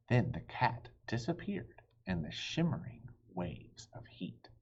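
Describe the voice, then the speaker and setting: A man reading a children's story aloud as an expressive audiobook narrator. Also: lowish voice, a little hiss or hum. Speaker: audiobook reader. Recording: dull, lo-fi audio.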